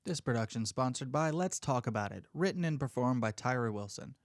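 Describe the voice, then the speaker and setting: Male announcer for podcast credits: medium-pitched, dry recording, scripted read. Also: animated, brisk, dry recording.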